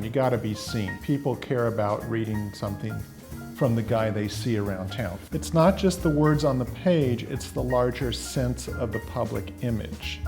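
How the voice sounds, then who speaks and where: A man's voice: mid-range voice, a little mellow. An American man in his sixties telling stories conversationally in a documentary interview. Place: indoor room with staircase, seated. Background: music.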